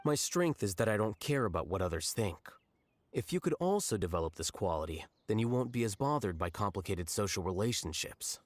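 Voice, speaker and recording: An actor playing an aloof, rational young scholar in a video game, formal, measured and blunt. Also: male voice, lowish voice, expressive, dry recording, close to an everyday voice. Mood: cool, detached. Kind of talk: video game character acting.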